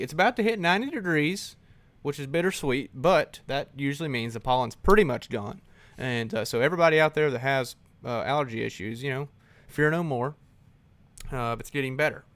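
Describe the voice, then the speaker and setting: A man's voice: mid-range voice, a bit bright, dry recording. A man co-hosting a live podcast in casual chat, talking in a laid-back, rambling way. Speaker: podcast co-host.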